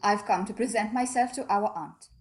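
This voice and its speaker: A woman's voice: medium pitch, moderate pace, close to an everyday voice. A woman playing a proud, composed young lady in a radio drama, speaking with polite formality. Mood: cool, reproachful.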